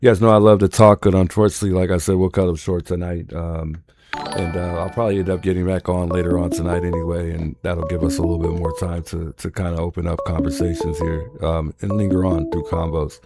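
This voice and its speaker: Deep-voiced man hosting a call-in livestream. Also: little pitch movement, a little fast, loud music behind the voice. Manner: chatty, thanks subscribers between calls. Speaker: livestream host taking calls. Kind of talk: casual live call-in stream.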